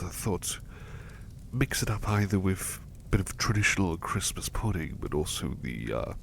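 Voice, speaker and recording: Guy doing a poorly butler in bed, low gravelly whispers, up and down a lot, super polite and hesitant. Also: slight background noise. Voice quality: soft and whispery. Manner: courteous and formal, hesitant restarts. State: unwell, resting in bed. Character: sickly polite young male butler. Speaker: voice actor. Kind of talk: ASMR roleplay.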